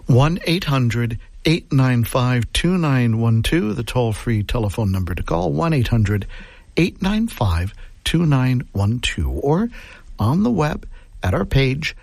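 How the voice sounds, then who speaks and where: A man's voice: medium pitch, sing-song, slow, vocal fry, a little mellow, no room sound. A man who is a radio station's music director, affably co-hosting a pledge drive and repeating the donation number.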